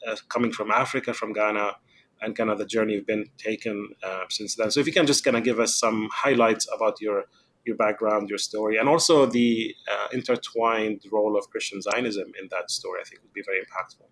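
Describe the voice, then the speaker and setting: A man hosting a podcast interview who talks in a halting way, with many fillers. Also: lowish voice, fairly even intonation, a bit bright. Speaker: podcast host.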